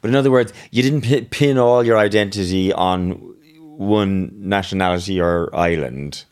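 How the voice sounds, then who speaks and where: Attentive male interviewer, low voice. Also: normal speed, darkish tone, clear, resonant tone. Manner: attentive, frequent brief agreements, summarising. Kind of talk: interview conversation.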